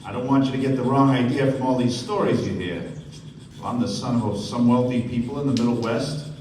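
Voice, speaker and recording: A man's voice: mid-range voice, fairly quick, lightly acted. A man playing a suave, courteous, wealthy American host with genteel phrasing in a stage radio play. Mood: earnest, confiding. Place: theater stage before an audience. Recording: echoey stage, distant mic, noisy room.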